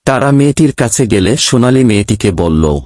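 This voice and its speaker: Narrator for animated horror tale: man, very expressive read, dry close recording. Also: medium pitch, moderate pace, no room sound. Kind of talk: scripted ghost story narration.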